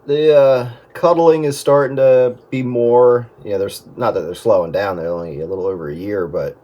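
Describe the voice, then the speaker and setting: A man's voice: mid-range voice, fairly even intonation, normal speed, dark tone, muffled recording. A middle-aged man chatting casually over amateur radio in a rambling way, often restarting his sentences.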